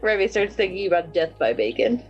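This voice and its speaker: A woman's voice: on the low side, very animated, a little fast. A woman playing in a tabletop roleplaying game, casually narrating her character in a sassy, playful way. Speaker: player in a tabletop roleplaying podcast. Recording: dull recording with music underneath. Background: music.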